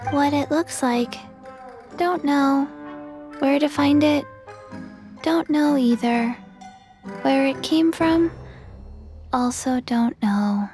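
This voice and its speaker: A woman voicing a small zombie girl in a video game, blank and literal, speaking in a halting deadpan over music. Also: high voice. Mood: blank, flat. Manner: deadpan, halting, speaks of herself in third person. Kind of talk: scripted video game dialogue.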